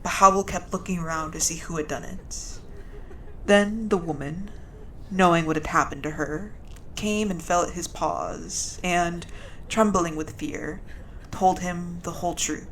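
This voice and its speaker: A woman acts out a grieving member of a noble fantasy house, speaking at a funeral in an audio drama. The voice is on the low side and very slow, solemn and measured. A faint rumbling noise sits in the recording. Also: poor audio. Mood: solemn, reverent. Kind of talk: audio drama eulogy with solemn scripture-like reading.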